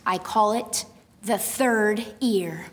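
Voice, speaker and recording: A woman's voice: medium pitch, takes it slowly, noisy background, close to an everyday voice. A woman playing a precocious, prickly young girl inventor in a radio drama, emphatic and proud. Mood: proud, presenting. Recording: reverberant student radio drama recording.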